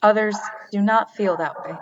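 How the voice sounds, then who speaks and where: A woman's voice: medium pitch, normal speed, muffled recording, slight background noise, natural voice. A woman playing an artificially created being in an audio drama, earnest and emotionally detached, with formal, literal phrasing. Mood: matter-of-fact, detached.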